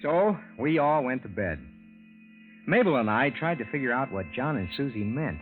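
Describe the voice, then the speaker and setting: A man's voice: mid-range voice, sing-song, moderate pace, natural voice. A man acting in a 1940s radio drama as an ordinary good-natured husband, telling his strange tale in a folksy, hesitant, conversational way. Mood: folksy recounting. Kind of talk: 1940s radio acting, first-person narration. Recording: tinny vintage radio broadcast with music.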